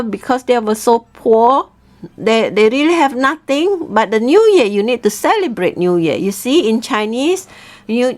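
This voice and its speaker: A woman teacher telling a story informally to students, often checking in with her listeners. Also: a little high.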